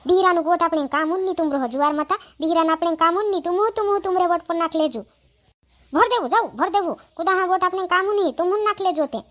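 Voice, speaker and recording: Woman as a very high-pitched, chiding village woman. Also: brisk, a bit bright. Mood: exasperated, chiding. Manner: chiding, repetitive. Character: exasperated village woman breaking up quarrels. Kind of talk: cartoon comedy dialogue.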